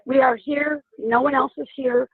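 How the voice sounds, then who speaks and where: A woman calling in a report to a sheriff's office over a phone line, speaking haltingly with a husky voice. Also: high-pitched, steady tone, no room sound. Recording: tinny phone line recording.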